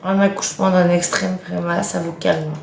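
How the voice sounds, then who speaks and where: A woman in her late thirties with a Franc-Comtois accent, her voice carrying a gravelly edge. She chats emphatically with viewers in an echoey room at home, with a faint hiss behind her. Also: lowish voice, little pitch movement, moderate pace, a little mellow. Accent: Franc-Comtois French. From Franche-Comté, eastern France. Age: late 30s. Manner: chatty, emphatic, exasperated retorts. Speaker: live stream host, mother of a large family. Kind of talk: casual live stream chat, answering viewer comments.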